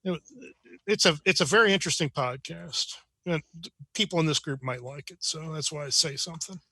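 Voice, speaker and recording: A man's voice: fairly high pitch, brisk, pushed and bright, slightly rough, dry recording. A man chatting casually on an online group meeting, rambling and rephrasing as he goes. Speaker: club member.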